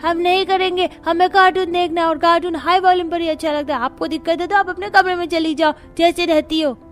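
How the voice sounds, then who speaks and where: Woman doing a squeaky, cheeky young child. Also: fairly even intonation, fast, soft background music. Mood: cheeky, defiant. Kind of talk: Hindi animated story acting.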